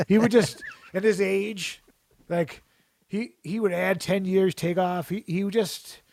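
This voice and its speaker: A man's voice: high-pitched, steady tone, takes it slowly, a little mellow, no room sound, clean studio sound. A man chatting casually and jokingly, rambling through stories with frequent restarts. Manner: rambling, jokey, restarts often. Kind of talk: casual chat.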